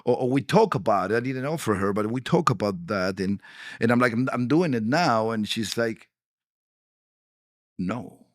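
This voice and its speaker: Middle-aged Argentine man, Spanish-native, halting English with restarts, dry close mic. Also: mid-range voice, no room sound. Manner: halting, many restarts and fillers, animated retelling. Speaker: actor and producer guest. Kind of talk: candid podcast interview.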